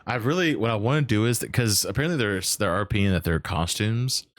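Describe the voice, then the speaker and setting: A man with a low voice chats as the host of a casual stream. He is animated and profane, often tripping over and repeating words. The sound is dry and close to the microphone. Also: fairly quick, no room sound. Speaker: podcast host and role-play streamer. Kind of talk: casual, unscripted interview chat.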